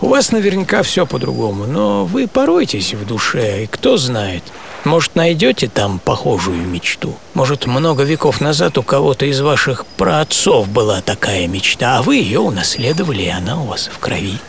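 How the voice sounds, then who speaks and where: A man acting a hardy, serene seventy-year-old sailor in an audio play monologue, reflective and intimate, with savoring pauses. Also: mid-range voice, very animated, creaky, a little hiss or hum. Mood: wistful, earnest. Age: 70s. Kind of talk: first-person dramatic monologue in an audio play. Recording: music behind the voice.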